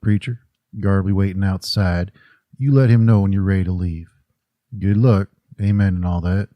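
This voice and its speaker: An actor playing a brusque prison warden in a horror audio drama, speaking in a dry, procedural, authoritative way. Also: male voice, deep voice, fairly even intonation, moderate pace, dull audio, lightly acted. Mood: formal, curt.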